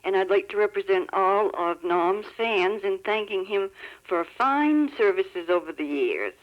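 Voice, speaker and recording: A woman's voice: mid-range voice, animated, measured pace. A woman phoning a radio show as a listener, speaking courteously. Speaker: phone-in caller. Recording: muffled 1970s telephone line on radio.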